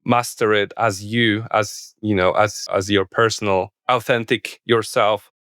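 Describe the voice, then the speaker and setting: A man with a Polish accent, speaking English as a second language as a podcast guest, halting with many restarts. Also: fairly low pitch, fairly even intonation, a bit bright, no room sound, clean studio sound. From Poland. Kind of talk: podcast interview.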